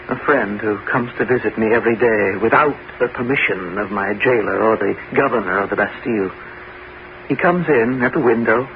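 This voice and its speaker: Man acting young male captive, wistful, deliberate, guarded, vintage radio drama, narrow-band sound, slight hiss. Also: medium pitch, natural voice. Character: guarded, melancholy young male prisoner of twenty-one. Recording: thin, narrow-band old radio recording, faint noise.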